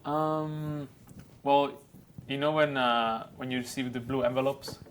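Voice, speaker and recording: A man's voice: medium pitch, fairly even intonation, unhurried, a bit bright, a little hiss or hum. A young man chatting casually as a guest, hesitant with many fillers. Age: young adult. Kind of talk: casual chat.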